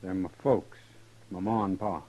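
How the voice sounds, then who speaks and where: An American actor playing a smooth drifter gunman in an old radio western, laconic and deliberate in his speech. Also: low voice, fairly even intonation, dark tone, muffled recording. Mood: earnest, subdued. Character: smooth drifter gunman posing as long-lost son. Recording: vintage radio drama recording.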